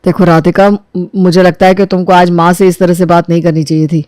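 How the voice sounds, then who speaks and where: A woman's voice: on the low side, little pitch movement, a little fast, a little mellow, no echo, natural voice. A mild young husband, acted by a woman narrator, tries to keep the peace in a cartoon family story. The delivery is appeasing and pleading, with a dry, close sound. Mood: placating. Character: placating young husband, mild and caught between wife and mother.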